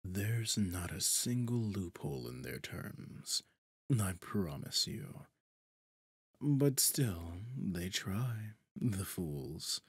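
A suave, possessive devil, played by a man for roleplay audio, speaks in a deep, breathy voice right up on a dry microphone. He takes his time, drawing out phrases with pompous, theatrical condescension and sinking often into near-whispers. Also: sing-song, drawn-out words, no room sound, full character voice. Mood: haughty exasperation. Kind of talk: roleplay audio drama, ASMR-like monologue. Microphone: close, dry studio microphone.

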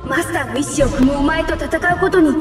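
A woman voicing a solemn, imperious machine ruler in a video game, with archaic, deliberate phrasing and a wistful resignation. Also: high-pitched, close to an everyday voice. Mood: regretful. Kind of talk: dramatic Japanese video game cutscene acting. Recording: echoey, distant, music behind.

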